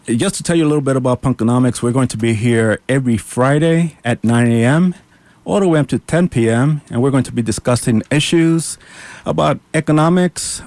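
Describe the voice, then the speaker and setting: A young man hosting a college radio show, introducing it in an upbeat, announcer-like way that turns a bit halting as he goes. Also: mid-range voice, a little mellow, no room sound. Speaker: student radio show host.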